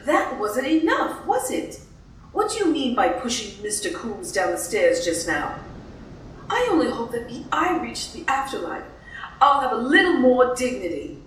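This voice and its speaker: A woman's voice: on the high side, big pitch swings, moderate pace, a bit bright, far from the mic, slight background noise. A woman playing a spirited, stubborn young widow in an audio drama, prim and indignant as she stands her ground. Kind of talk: theatrical audio drama acting. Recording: echoey room, off-mic, music behind.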